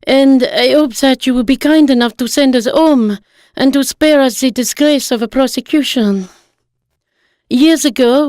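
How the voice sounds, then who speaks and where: Woman acting a candid wife in her fifties, fairly high voice, composed, earnest, dry sound, story monologue. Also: darkish tone, dry recording. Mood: earnest pleading. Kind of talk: dramatised short story monologue.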